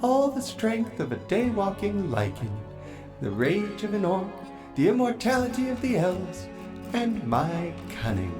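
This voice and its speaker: A woman's voice: mid-range voice, big pitch swings, unhurried, music in the background, voice pushed up. A performer voicing a cunning, imperious vampire queen in a haughty, theatrical story reading. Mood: gloating, sinister.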